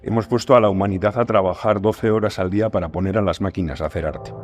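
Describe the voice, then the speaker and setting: Casting: man with a low voice and Spain accent, explaining informally, circling back on phrases to stress them. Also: fairly even intonation, quick pace, over music. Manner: explanatory, repeats phrases for emphasis. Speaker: podcast guest, scriptwriter and audio fiction creator. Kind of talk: casual conversation.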